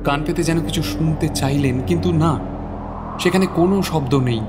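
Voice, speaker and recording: A man's voice: a little high, moderate pace, background noise. A man narrating a scripted story as a dramatic narrator.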